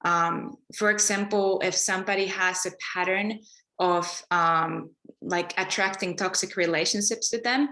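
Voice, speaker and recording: A woman from Finland talks in a calm, flowing way, with plenty of ums and uhs. Her voice is low-pitched and bright. The sound is echoey, like a bare room. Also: unhurried. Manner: calm, flowing, spiritual talk with many fillers. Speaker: guest, energy healer. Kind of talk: podcast interview.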